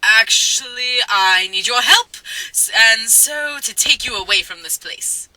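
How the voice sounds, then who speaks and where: A woman voicing a pompous, haughty teenage boy wizard with theatrical, drawn-out delivery in a comic story reading. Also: fairly high pitch, expressive, sharp tone, dry recording, heavily acted, poor audio.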